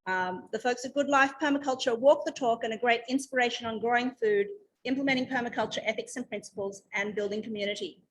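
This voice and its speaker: A woman's voice: fairly high pitch, fast talker, slight background noise, rough recording. A woman hosting a community meeting informally, somewhat hesitant and loosely organised as she speaks. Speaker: meeting host. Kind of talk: informal introduction of a guest speaker.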